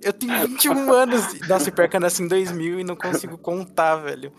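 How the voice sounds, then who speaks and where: A young man in his twenties hosts a loose, joking podcast chat and rambles on. His voice sits a little on the high side. Faint music plays underneath. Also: quick pace. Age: 20s. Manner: rambling, joking, laughs easily. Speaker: podcast host. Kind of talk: casual chat.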